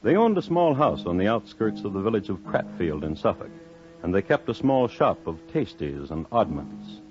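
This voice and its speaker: An actor narrating a 1950s radio crime drama as a dry-witted, deadpan storyteller with formal, measured phrasing. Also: male voice, lowish voice. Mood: droll, measured. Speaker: host and narrator. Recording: dull old radio recording. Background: faint music.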